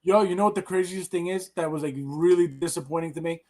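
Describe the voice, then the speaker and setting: High-voiced Colombian-born podcast host. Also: male voice, quick pace, dark tone. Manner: rambling, acts out imagined remarks from others. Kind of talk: casual podcast chat.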